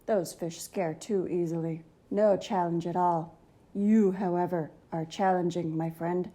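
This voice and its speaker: A woman's voice: on the low side, steady tone, unhurried, dark tone. Woman as a growly, courteous bedtime monster. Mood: fond, proud. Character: male bedside monster, fond, growly, toe-hungry old companion.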